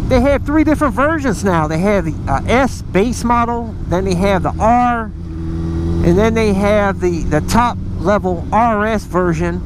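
A man riding a motorcycle, chatting casually to viewers as a motovlogger, rambling with lots of fillers and restarts. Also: high voice. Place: outdoors on a moving motorcycle. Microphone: helmet-mounted mic under a helmet. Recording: loud road and engine noise.

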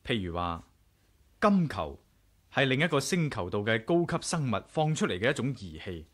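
A curious, self-assured male adventurer from a Cantonese radio drama, with a Hong Kong accent. He speaks frankly and deliberately at a medium pitch, with highly animated intonation. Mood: frank, speculative. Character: curious, bold male adventurer narrating his own tale. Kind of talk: radio drama acting and narration.

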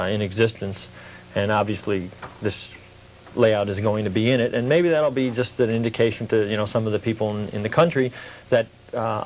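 A middle-aged man giving casual interview answers as a hobby collector, explaining earnestly and haltingly. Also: on the low side, a little hiss or hum, rough recording. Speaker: interview guest, model train collector.

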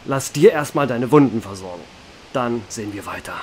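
A man playing a bar owner who narrates his own story in a radio drama, in a measured manner. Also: mid-range voice, expressive, darkish tone, a little hiss or hum, close to an everyday voice. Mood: serious. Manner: measured, first-person storytelling.

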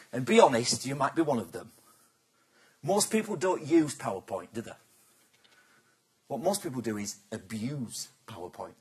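A man giving a business talk to a live audience, chatty and teasing, throwing questions at the crowd. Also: on the high side. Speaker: presenter.

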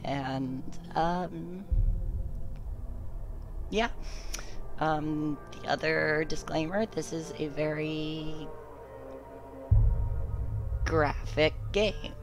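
A woman streamer with a raspy, hoarse voice from being sick, chatting casually and haltingly with lots of fillers as she hosts a game stream. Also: low voice, steady tone. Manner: halting, many fillers, explaining. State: hoarse from recovering illness. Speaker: streamer and host. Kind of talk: casual let's-play chat. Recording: loud game music behind.

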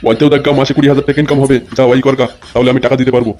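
A man's voice: mid-range voice, flat delivery, rapid-fire, background noise, close to an everyday voice. A man playing a tight-fisted, scolding village father with coarse speech in a comic cartoon dub. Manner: scolding, earthy, coarse-tongued.

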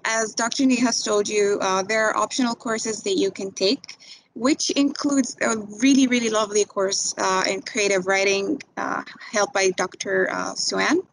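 A woman's voice: mid-range voice, fairly even intonation, normal speed, vocal fry, low-quality recording. A young woman from Tunisia, a recent graduate, talking informally on a webinar with many fillers and restarts. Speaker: graduate speaking to prospective students. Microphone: video call.

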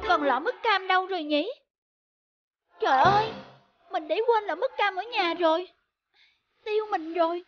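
Very high-pitched, pouty little girl. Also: normal speed, pauses often, highs cut off. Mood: dejected. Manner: pouty, protesting. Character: chubby young girl, clumsy, sulky little sister. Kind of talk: cartoon voice acting. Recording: music bed under the voice.

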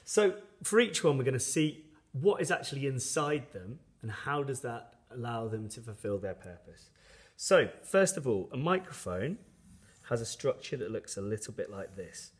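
A man's voice: mid-range voice, animated, moderate pace. A man giving a step-by-step science tutorial in an explanatory, instructional manner as a teacher.

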